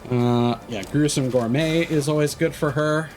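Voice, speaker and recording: A man's voice: a little high, fairly even intonation, moderate pace, a little mellow, soft background music. A man streaming a video game, giving casual live commentary and thinking aloud as he plays.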